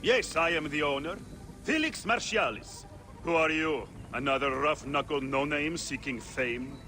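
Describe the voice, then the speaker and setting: An actor playing a brash, mocking Roman arena owner in a video game cutscene, insulting and dismissive. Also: male voice, a little high, measured pace, sharp tone. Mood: sneering, dismissive.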